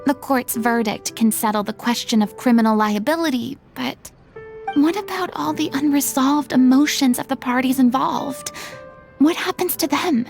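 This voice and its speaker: An actress playing a poised young woman in a game cutscene, reflective and measured, slipping in a French phrase. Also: high-pitched, gravelly, darkish tone, faint music behind. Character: poised young woman musing on law and emotion.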